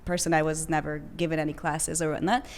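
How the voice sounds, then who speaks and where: Woman, lowish voice. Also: little pitch movement, fast talker. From Hungary. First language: Hungarian. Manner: reflective, earnest, with frequent fillers and restarts. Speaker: podcast guest. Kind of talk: casual interview chat.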